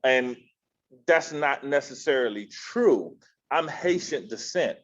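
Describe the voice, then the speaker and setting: A man's voice: mid-range voice, lively intonation, takes it slowly. A man from Miami with a slight Southern twang gives an informal, slangy motivational presentation, repeating phrases and addressing listeners directly, over dull lo-fi audio. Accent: American, slight Southern twang. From Miami, Florida. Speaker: keynote speaker, educator and author. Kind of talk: motivational presentation to an online audience.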